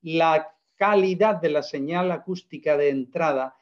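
A man's voice: a little high, measured pace, muffled recording. A man, giving an emphatic, persuasive explanation full of rhetorical questions in a casual interview. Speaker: guest presenting a product.

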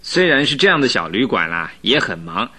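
A resigned, complaining innkeeper in a radio play. The voice is forceful and raspy, and it swings up and down with each grumble. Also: male voice, medium pitch, sing-song, a little fast, heavily acted. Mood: resigned, grumbling. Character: grumbling, overworked innkeeper who dislikes dogs, male. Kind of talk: Mandarin radio drama acting.

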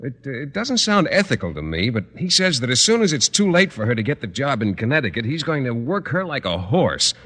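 A man's voice: mid-range voice, big pitch swings, fairly quick, few pauses, fairly bright tone. An actor playing a bashful, prim, earnest male schoolteacher with hesitant, proper delivery in an old-time radio comedy. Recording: noisy old radio recording, reverberant hall.

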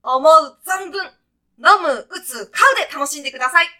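A woman's voice: high-pitched, moderate pace, bright, forceful tone. A woman playing a brash, breezy guide to heaven in a comic radio sketch, talking in punchy, clipped, casual slang like a sporty junior employee. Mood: upbeat, brash.

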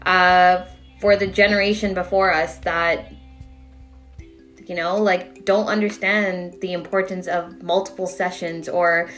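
A woman's voice: on the low side, level tone, a little slow, fairly bright tone, faint music behind. Chatty woman hosting an interview. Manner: friendly, chatty questions with "like" and "you know". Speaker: interview host. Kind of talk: casual interview talk.

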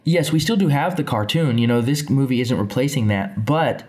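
A young man co-hosting a podcast, chatting casually in a quick, rambling, joking way. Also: medium pitch, a little mellow. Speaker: podcast co-host. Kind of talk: casual chat.

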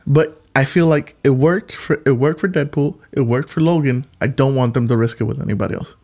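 Man, podcast co-host, playful, animated, casual movie chat. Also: mid-range voice, fairly quick, creaky. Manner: animated, playful, talks over his co-host.

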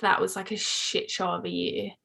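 A twenty-year-old woman with an Australian accent, chatting openly as a podcast guest. She fills her sentences with "like" and swears casually. Her pitch sits at an ordinary middle height. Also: flat delivery, moderate pace, creaky, pushed and bright. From Hobart, Tasmania. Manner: chatty, candid, frequent "like" fillers, swears casually. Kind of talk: casual chat.

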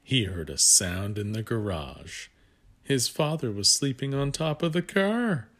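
A man reading a picture book aloud to young children, with gentle asides that guide the listener. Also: medium pitch, lively intonation, a little slow.

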